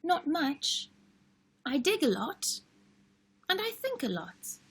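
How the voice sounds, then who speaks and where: A woman's voice: high voice, big pitch swings, slow pace, fairly bright tone, pitched above the performer's own voice, exaggerated voice. A woman voicing a small, earnest young male wombat who answers plainly and modestly in a children's storybook. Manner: plain, simple, repetitive answers.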